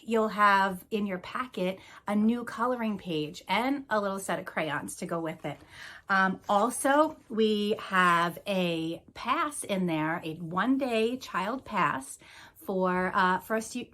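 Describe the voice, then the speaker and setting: A woman's voice: medium pitch, fairly bright tone. A woman hosting a children's storytime, talking casually and reading a picture book aloud. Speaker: children's museum staff storytime host.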